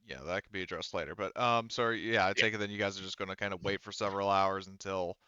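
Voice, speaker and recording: Halting man with a bright, low-pitched voice. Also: fairly even intonation, smooth, no room sound, lo-fi sound. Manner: halting, hesitant phrasing, repeats "you know" and "like". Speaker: game master. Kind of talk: running a tabletop roleplaying game, unscripted narration.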